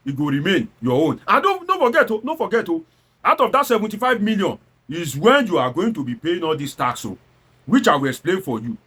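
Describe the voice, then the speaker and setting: A man's voice: sits high, a bit bright, husky. A man with a Nigerian accent giving informal satirical political commentary, theatrical and exclamatory, slipping into Pidgin and throwing out rhetorical questions. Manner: slips between standard English and Nigerian Pidgin.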